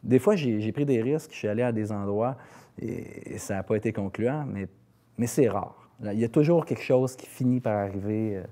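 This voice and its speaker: Québécois male singer-songwriter as interview guest, soft dark timbre, slightly low pitch, conversational and searching. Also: a little fast. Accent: Quebec French.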